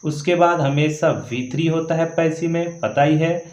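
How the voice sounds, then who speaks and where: Echoey, higher-pitched male grammar teacher. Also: level tone, normal speed, darkish tone. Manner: instructional, repeats key points, frequent check-in tags. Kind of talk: classroom-style grammar lesson, explaining rules step by step. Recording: echoey room.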